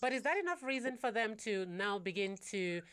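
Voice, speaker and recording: A woman news presenter interviewing a correspondent in a measured way. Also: medium pitch, expressive, a bit bright, clean tone, dry recording. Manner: measured, asks long questions. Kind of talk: broadcast interview.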